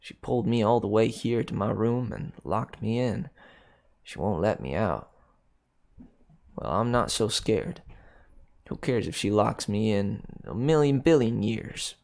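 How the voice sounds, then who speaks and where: A man voicing a troubled, defiant little girl with an American country accent, telling her memory in halting, childlike, insistent speech. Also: lowish voice, expressive, creaky, darkish tone. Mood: sullen, defiant. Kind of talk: dramatic first-person story reading.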